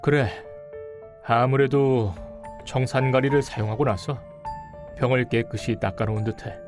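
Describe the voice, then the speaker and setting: A man playing a stern, suspicious police detective in an audio drama, speaking deliberately and pointedly. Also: mid-range voice, fairly even intonation, music in the background, natural voice. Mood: firm, businesslike.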